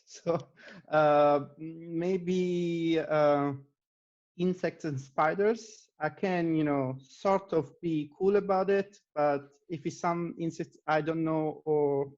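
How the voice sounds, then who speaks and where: A man with an Italian accent, a native Italian speaker, speaks as an interview guest in a fairly high voice. His answers are conversational. The audio is a little dull and lo-fi. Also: fairly even intonation, slow, a little mellow. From Italy. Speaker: interview guest, data scientist.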